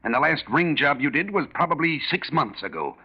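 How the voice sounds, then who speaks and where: Hard-nosed creditor, scolding and sarcastic, very animated delivery, dull old radio sound. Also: male voice, a little high, a little fast, slightly rough, heavily acted. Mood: scolding, scornful. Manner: scolding, sharp, emphatic. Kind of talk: 1940s radio acting. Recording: dull 1940s radio recording.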